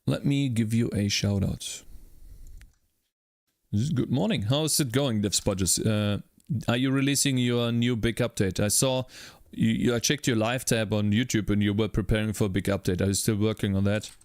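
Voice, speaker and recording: A man's voice: fairly low pitch, fairly even intonation, moderate pace, a little mellow, dry recording, studio quality. A man streaming his coding work, talking through his steps and greeting chat viewers by name. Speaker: livestreaming game developer.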